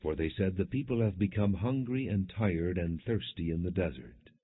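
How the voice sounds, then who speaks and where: A man reading scripture as an audiobook narrator, in measured, clearly enunciated storytelling without dramatization. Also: sits low, expressive. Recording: muffled, phone-like lo-fi audio.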